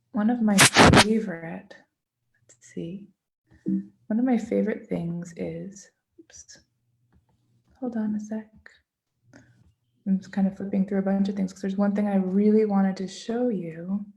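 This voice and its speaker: A woman presenting as an author in an informal live online talk, speaking gently and unhurriedly with pauses for emphasis over a video call. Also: medium pitch, fairly even intonation. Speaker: children's author, guest presenter.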